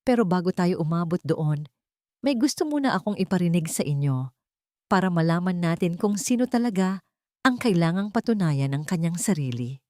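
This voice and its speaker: A woman voicing a calm, wronged elderly mother in a dramatic story, cold and deliberate. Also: fairly low pitch, dry recording, clean studio sound, natural voice. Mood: cold, controlled.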